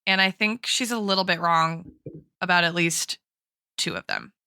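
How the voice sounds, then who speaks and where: Bright, crisp-voiced woman thinking aloud. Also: fairly low pitch, lively intonation, normal speed, dry recording, studio quality. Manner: thinking aloud, checks in with "right?". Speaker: podcast co-host and guest expert. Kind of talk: casual analytical podcast discussion.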